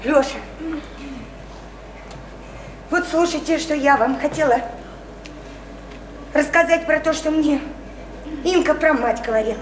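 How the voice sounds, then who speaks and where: An actress playing a naive, odd young provincial woman in a radio drama, halting and childlike, repeating herself as she pours out her feelings. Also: sits high, roomy sound, noisy background, lightly acted. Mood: confiding. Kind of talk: stage-style radio drama acting.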